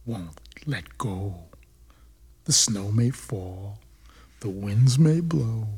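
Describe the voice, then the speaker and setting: A performer voicing a weary, limping parent from a storybook poem in a slow, hushed, ominous drawl. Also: mid-range voice, slightly rough, pitched below the performer's own voice, full character voice.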